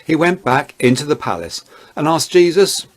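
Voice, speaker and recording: A man narrating a dramatized Bible reading in a measured, deliberate way. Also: a little high, big pitch swings.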